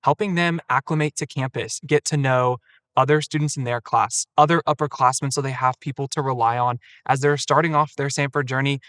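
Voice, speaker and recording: Young male student guest; orderly, explanatory, mid-pitched, dry recording. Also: fairly bright tone, no echo. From Atlanta, Georgia. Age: young adult. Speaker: student event coordinator. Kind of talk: interview answers.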